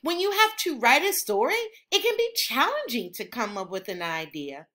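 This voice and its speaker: A woman reading a children's book aloud in an expressive, instructional way, like a teacher. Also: on the high side, normal speed. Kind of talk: animated children's read-aloud.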